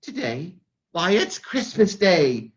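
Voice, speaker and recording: A man voicing an eager young street boy who answers politely and quickly, on a dull lo-fi recording. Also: high-pitched, big pitch swings, heavily acted.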